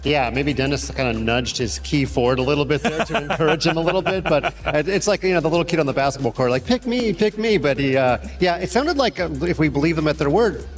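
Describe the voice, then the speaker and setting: A man gives conversational analysis on a chess broadcast desk. He talks quickly in a mid-range voice, with folksy, colloquial phrasing, over quiet background music. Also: fairly high pitch, expressive.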